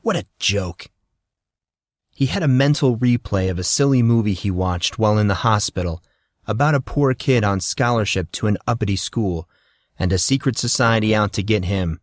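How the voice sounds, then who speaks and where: Steady male audiobook narrator. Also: on the low side, no room sound, studio quality. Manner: steady, measured storytelling.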